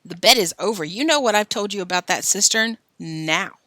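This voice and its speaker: Brief: woman reading a firm storybook dad, clipped commands, bright voice, dry sound. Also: mid-range voice, expressive, normal speed, no echo. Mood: stern. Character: stern father, firm and impatient.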